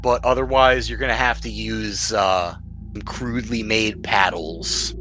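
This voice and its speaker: A man acting as game master, narrating and bantering casually in a wry, deadpan way. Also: on the low side, a little slow, low-quality recording. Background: music.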